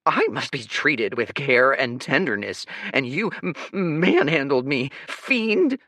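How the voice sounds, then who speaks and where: A man's voice: big pitch swings, slow pace, sharp tone, dry recording, studio quality, full character voice. A man voicing a pompous, whiny young noble boy who yelps and snivels. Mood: indignant. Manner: shrill, yelping and sniveling.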